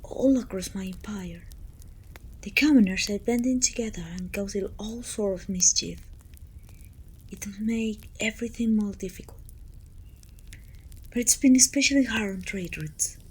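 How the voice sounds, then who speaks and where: A woman playing a pompous, self-pitying evil queen who talks down to her servant in an intimate, breathy ASMR roleplay, often dropping toward a whisper. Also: medium pitch, normal speed, pauses often. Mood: exasperated. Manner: pompous, petulant, theatrical sighing complaints.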